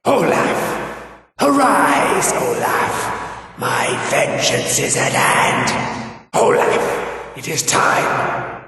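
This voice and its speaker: A man playing a vengeful warrior ghost in a video game, declaiming forcefully through ghostly reverb. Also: mid-range voice, big pitch swings, very slow, long pauses, exaggerated voice. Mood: vengeful summons. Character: vengeful booming male warrior ghost summoning a foe. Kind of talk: video game character voice lines. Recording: long echoing reverb, distant sounding.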